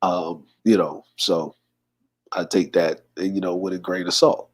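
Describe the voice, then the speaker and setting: A man of forty talks easily to his livestream audience in a fairly low voice. He reads out comments, greets viewers by name and drifts into his own thoughts, unhurried and relaxed. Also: husky. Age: 40s. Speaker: livestream host. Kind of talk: casual livestream chat.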